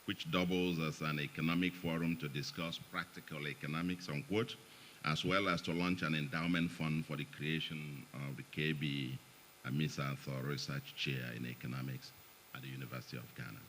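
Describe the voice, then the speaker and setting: A man's voice: a bass voice, level tone, a little fast, a bit bright, a little hiss or hum. A middle-aged man with a Nigerian accent, speaking to an audience at a forum in a chatty way with joking asides. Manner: chatty, joking asides, chuckles between remarks. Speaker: guest speaker at an economic forum. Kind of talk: public speech, partly read. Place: event hall, in front of an audience.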